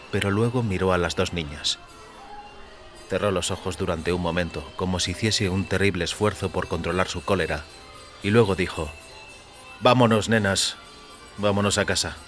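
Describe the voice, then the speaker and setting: Male narrator for dramatic audio fiction: low-pitched, crisp bright edge, measured literary delivery, light music underneath. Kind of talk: dramatic scripted story narration.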